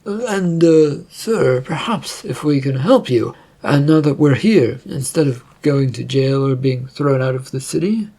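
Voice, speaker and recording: Performer as a storybook adventurer, polite, deferential, hesitant, creaky middle-pitched voice. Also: lively intonation, measured pace, few pauses, dark tone. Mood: tentative.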